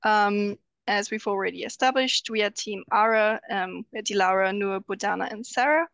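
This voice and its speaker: A woman's voice: mid-range voice, moderate pace, vocal fry, fairly bright tone, no room sound. Hesitant young woman on a call. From Austria. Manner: hesitant, many ums. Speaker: student presenter. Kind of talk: online video call presentation. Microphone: video call.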